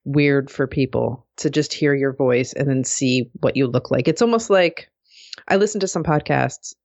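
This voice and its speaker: A woman co-hosting a podcast, with a very low voice and a slightly dull, muffled sound. She speaks agreeably and reflectively, with plenty of fillers. The audio is dry and close. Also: moderate pace, darkish tone, dry recording. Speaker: podcast co-host. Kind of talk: casual conversational chat.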